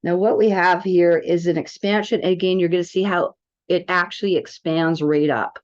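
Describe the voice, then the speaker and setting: A woman's voice: fairly low pitch, steady tone, moderate pace. A woman giving a casual product tutorial as a presenter, chatting informally and rambling a little. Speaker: presenter demonstrating a wellness app. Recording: dull, lo-fi audio.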